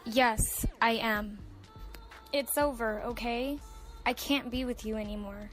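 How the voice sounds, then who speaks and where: Slow-speaking, weary trapped girlfriend. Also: on the high side, fairly even intonation. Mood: firm, defiant. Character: weary trapped girlfriend leaving her controlling man. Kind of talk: audio drama acting with first-person narration. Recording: music behind the voice.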